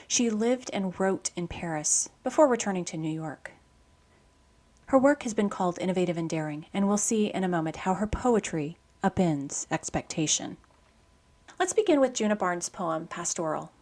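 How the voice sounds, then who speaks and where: A woman hosting a poetry program, reading scripted commentary and verse in a measured, literary way. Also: on the low side.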